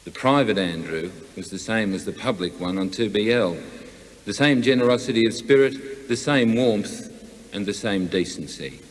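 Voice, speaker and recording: Man, Australian accent, lowish pitch, prepared eulogy, long hall reverb. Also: normal speed. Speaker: eulogist, colleague and friend. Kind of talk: scripted eulogy at a memorial. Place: large hall, memorial gathering.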